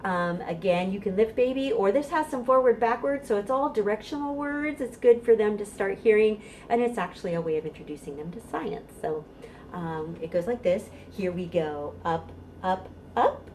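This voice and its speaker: A woman's voice: medium pitch, lively intonation, moderate pace, a little mellow, a little hiss or hum. A middle-aged American woman leading a storytime for babies as a children's librarian, giving instructions and reciting rhymes in a sing-song, encouraging way.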